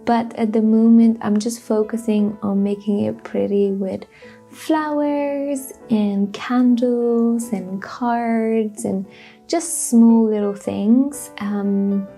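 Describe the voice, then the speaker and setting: A woman's voice: on the high side, fairly even intonation, slow, warm tone, faint music behind. A woman from Romania giving a chatty, personal lifestyle vlog voiceover. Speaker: lifestyle vlogger.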